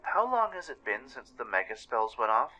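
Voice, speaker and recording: A man's voice: mid-range voice, very animated, moderate pace, pushed and bright, faint music behind, voice pushed up, poor audio. A man voicing an anxious young pony adventurer in a radio play, hesitant and flustered.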